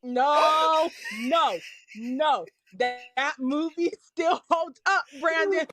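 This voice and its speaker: High-pitched, insistent woman debating. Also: very slow, music in the background, rough recording. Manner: insistent, argumentative, emphatic. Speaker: podcast panelist. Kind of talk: heated casual debate.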